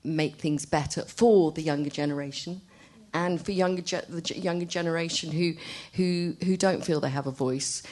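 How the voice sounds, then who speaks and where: A woman artist speaking haltingly and off the cuff at a public discussion, often repeating words. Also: sits low, darkish tone. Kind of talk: spontaneous remarks at a public discussion. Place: arts centre event room. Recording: live event recording.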